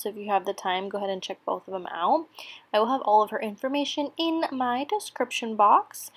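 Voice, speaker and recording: A young woman in her twenties, from San Diego, chatting casually and upbeat as she does laundry at home, rambling with ums and likes, recorded on a camera mic that is sometimes held at arm's length and sometimes across the room. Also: mid-range voice. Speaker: mom vlogger. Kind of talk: casual chat over household chores. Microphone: camera mic, sometimes handheld at arm's length, sometimes from across the room.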